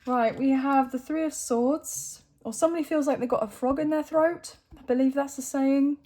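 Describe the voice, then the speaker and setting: Woman, croaky throat, chatty tarot reader, mellow tone, slightly high voice. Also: fairly even intonation, normal speed. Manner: chatty, thinks aloud while drawing cards. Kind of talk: casual tarot reading to camera.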